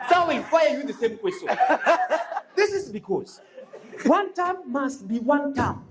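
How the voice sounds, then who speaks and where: A Kenyan-accented student debater delivers a fiery speech, hammering slogans and shouting points home. The voice sits high. A lively crowd fills the echoey debate hall behind it. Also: heavy background noise. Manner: punchy, rhetorical, repeats slogans, shouts points.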